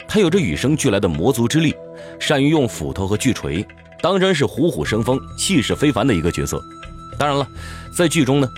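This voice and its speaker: A man delivering scripted narration as a voice actor, in a dramatic, measured storytelling manner. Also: fairly low pitch. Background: music.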